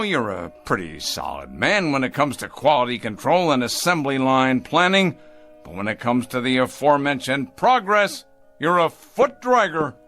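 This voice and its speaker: An actor in a radio drama playing a smug, sardonic factory boss zealous about machines, with grand mockery. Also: male voice, mid-range voice, pushed and bright, exaggerated voice. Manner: sardonic, grandly mocking, repeating words. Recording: radio drama with music underneath.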